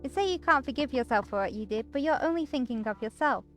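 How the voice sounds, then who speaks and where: A woman's voice: high-pitched, animated, fast talker. A woman voicing a caring, forthright young female friend in a visual novel, earnest and pleading. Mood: gently scolding. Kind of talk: visual novel read aloud. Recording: music behind the voice.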